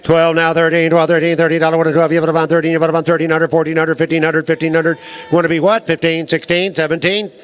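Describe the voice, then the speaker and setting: A male livestock auctioneer rattles off bids in a rolling, rhythmic chant, repeating numbers over and over. His voice sits a little high and comes through crisp and clean. He talks at a breakneck clip. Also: level tone, fast.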